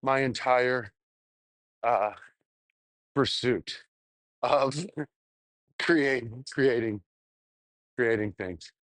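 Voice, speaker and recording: A man's voice: medium pitch, fairly bright tone, no room sound. Man rambling casually, dry close recording. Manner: self-deprecating, halting, crude swearing. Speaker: vlogger. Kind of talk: casual rambling spoken journal.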